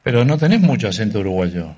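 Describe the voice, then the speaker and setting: A man's voice: on the low side, very animated, dark tone. Man interviewing in a conversational way. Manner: conversational, frequent backchannels, halting questions. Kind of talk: radio-style interview.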